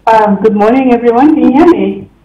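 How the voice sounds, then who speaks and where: A woman's voice: on the high side, brisk. A woman delegate introducing herself to a meeting over a remote connection. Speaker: delegate from an African agency. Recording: echoey, dull, noisy remote line.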